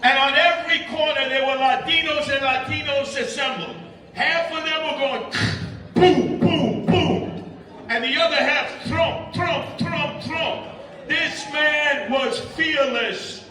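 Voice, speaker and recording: A man's voice: very high voice, takes it slowly, bright, forceful tone. A man giving an impassioned rally speech, emphatic and repetitive, declaiming his points. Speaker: rally speaker and radio host. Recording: echoey public address from far off.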